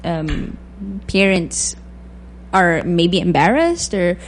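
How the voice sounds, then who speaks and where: A woman, a doctor answering questions in a radio interview in a measured, thoughtful way with frequent pauses. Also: on the low side, big pitch swings, slight background noise, low-quality recording. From Philippines. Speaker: guest physician.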